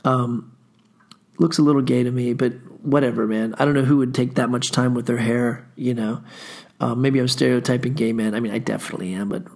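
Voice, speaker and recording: A man's voice: medium pitch, fairly even intonation, a little fast, darkish tone. A man hosting a solo podcast, under the weather with a stuffy runny nose, rambling casually through a profane personal story with lots of fillers. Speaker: podcast host.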